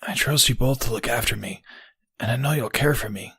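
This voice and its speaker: A man voicing a weary, injured young male pony in an audio story, soft and downcast. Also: medium pitch, a little fast, creaky, slightly rough, dry recording, voice pushed up, heavily acted. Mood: weary, sad.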